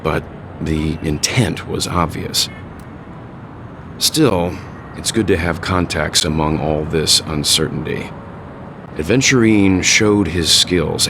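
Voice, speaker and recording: Very deep, calm strategist. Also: male voice, vocal fry, background noise. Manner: measured, reasoned, deliberate. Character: older man, calm, analytical strategist. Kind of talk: video game voice acting.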